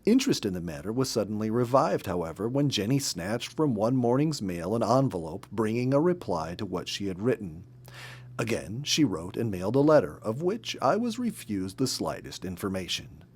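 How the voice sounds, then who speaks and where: Man reading aloud with lively intonation. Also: fairly low pitch, normal speed, breathy edge. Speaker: storybook reader. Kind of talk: read-aloud storytelling from a nature memoir.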